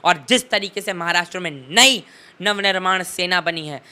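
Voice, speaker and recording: A man's voice: high voice, moderate pace, bright, forceful tone, clear, resonant tone. A teenage young man giving impassioned political commentary in an interview, emphatic and oratorical, leaning on rhetorical repetition.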